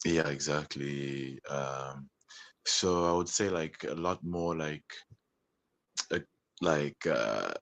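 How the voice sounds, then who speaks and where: Man musician guest, extremely low voice, loose hesitant answers, dry close sound. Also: takes it slowly, creaky, a bit bright, no echo. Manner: meandering, hesitant, full of "like" and "you know". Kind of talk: casual interview talk.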